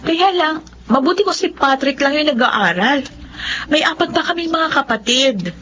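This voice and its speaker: A woman's voice: high-pitched, animated, normal speed, pushed and bright, lo-fi sound, a little hiss or hum. A woman playing a determined, hopeful young eldest daughter in a Filipino radio drama. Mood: hopeful, reassuring. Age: young adult.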